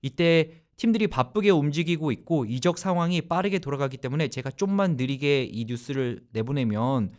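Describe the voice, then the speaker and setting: Male radio host, fairly high voice with a very lively pitch, chatty and explanatory. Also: moderate pace, few pauses. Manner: animated, explains at length; loose conversational rambling. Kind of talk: casual sports talk.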